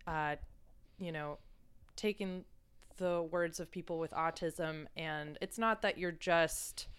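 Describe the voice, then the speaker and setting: A woman's voice: low-pitched, slow, clean tone. A woman hosting a conversational interview, thinking aloud and searching for the right words. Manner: thoughtful, searching for words with fillers and restarts. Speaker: podcast host.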